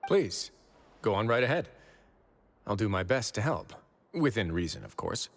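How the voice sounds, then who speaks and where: An actor playing a composed, grave duke who runs a prison fortress in a video game, speaking calmly, formally and deliberately. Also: male voice, fairly low pitch, gravelly, exaggerated voice. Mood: reassuring, courteous. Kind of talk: dramatic video game dialogue. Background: background game music.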